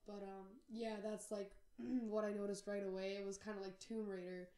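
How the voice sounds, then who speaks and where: A young woman co-hosting a casual podcast chat, hoarse and phlegmy from a cold, with lots of filler words. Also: medium pitch, level tone, mellow. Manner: drawn-out, filler-heavy talk. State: sick, losing her voice. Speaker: podcast co-host. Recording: echoey room.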